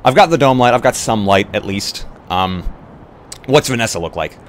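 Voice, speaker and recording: A man's voice: lowish voice, expressive, normal speed, slight background noise. A man taking part in a tabletop roleplay as a player, casually thinking aloud and reacting in short bursts. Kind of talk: casual improvised roleplay chat.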